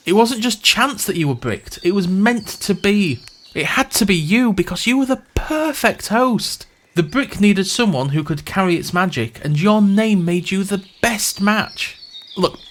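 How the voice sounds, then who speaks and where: Male, slightly high voice, acting as a pompous wizard podcast host; wry, reluctant, self-satisfied, scripted fantasy comedy narration. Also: a bit bright, a little hiss or hum. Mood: exasperated pleading, then condescending explaining.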